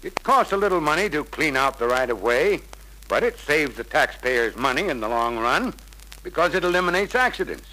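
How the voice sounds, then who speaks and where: Blunt older customer, bright lively voice. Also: male voice, a little high, moderate pace. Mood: folksy, assured. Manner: grudging, blunt, folksy. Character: gruff skeptical older road-building customer, a country squire. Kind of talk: 1950s dramatized sales film acting. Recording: noisy 1950s film soundtrack.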